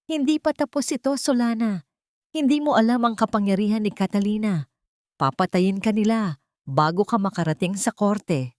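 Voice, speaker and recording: A vengeful husband in a drama story, played by a woman narrator, delivers menacing warnings. The pitch is pushed up from the usual voice and the recording is dry. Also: moderate pace, no echo, close to an everyday voice. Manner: threatening, warning.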